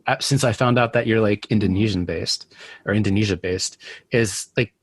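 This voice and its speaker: A man's voice: on the low side, normal speed, husky, no room sound. A man co-hosting a podcast interview, chatting loosely and rambling with lots of filler words. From United States. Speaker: podcast co-host. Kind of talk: casual interview chat.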